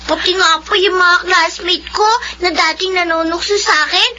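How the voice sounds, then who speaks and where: A performer playing an excited schoolgirl daughter in a radio drama. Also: very high-pitched, normal speed, dull audio, exaggerated voice. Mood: excited, eager. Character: excited schoolgirl daughter bringing good news. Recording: band-limited radio drama, faint noise.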